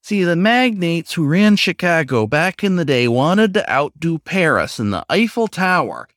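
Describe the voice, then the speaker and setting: A man's voice: big pitch swings, normal speed, a bit bright, no echo, studio quality. A man voicing an eager, chatty, lonely talking raccoon in a story, lecturing and pleading. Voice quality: squeaky when pleading.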